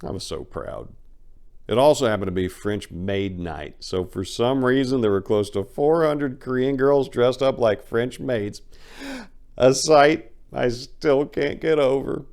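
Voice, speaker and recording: A man narrating a first-person memoir as a dramatic reading, with an expressive storytelling delivery and emphatic swings. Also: medium pitch, a little slow, smooth. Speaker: audiobook narrator.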